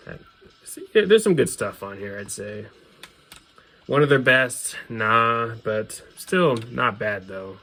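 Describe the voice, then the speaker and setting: A man's voice: on the low side, a bit bright. A man giving casual, unscripted commentary as a video host, offhand and hedging his opinions. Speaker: video host showing a music CD collection. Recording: clear background noise.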